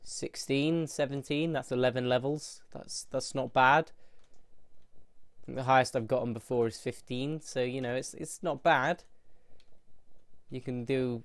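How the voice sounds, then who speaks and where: A man's voice: mid-range voice, dry recording. A man with a stuffy, bunged-up nose, rambling casually through a gameplay tour with frequent restarts. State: stuffy nose from hay fever. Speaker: gameplay video host.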